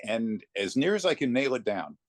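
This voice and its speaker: A man's voice: mid-range voice, big pitch swings, moderate pace, dry recording. A middle-aged or older man, a voice actor, telling anecdotes casually as an interview guest.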